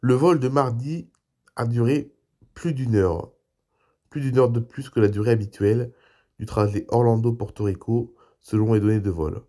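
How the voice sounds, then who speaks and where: A man hosting a homemade aviation news show while stuffed up with a cold, so he keeps his voice low and soft. His tone is mellow and dark, and he moves between reading articles and chatting loosely with his viewers. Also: moderate pace. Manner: reads articles, then adds loose spoken asides. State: has a cold, speaks softly. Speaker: YouTube news show host.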